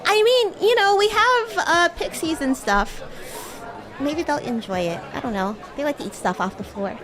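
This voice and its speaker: High, lively woman playing a bratty demon schoolgirl. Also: moderate pace, crowd noise. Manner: playful, teasing, giggly. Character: bratty young female demon schoolgirl classmate. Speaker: VTuber streamer. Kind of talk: improvised roleplay livestream chat.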